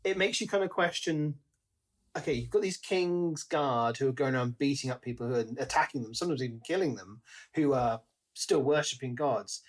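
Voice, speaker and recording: A man's voice: medium pitch, a little fast. A man co-hosting a podcast, discussing a book casually and conversationally, slipping into paraphrased quotes. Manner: conversational, acts out imagined quotes. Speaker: podcast co-host.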